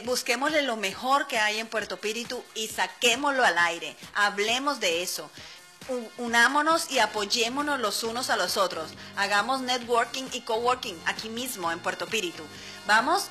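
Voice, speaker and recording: A woman's voice: a little high, sharp tone. A woman with a Venezuelan accent hosting a radio show, chatting casually and playfully, laughing often as she talks to her listeners. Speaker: radio host. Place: radio studio.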